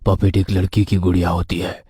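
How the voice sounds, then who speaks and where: A man with a husky voice reading scripted horror story narration. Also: low voice, monotone, brisk, warm tone, dry recording, clean studio sound.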